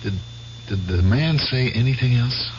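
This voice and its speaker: An actor playing an affectionate, excitable husband in a radio drama. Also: male voice, mid-range voice, measured pace, close to an everyday voice. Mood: uneasy, evasive. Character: affectionate, excitable husband, war veteran hiding unease. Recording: dull hissy old radio recording.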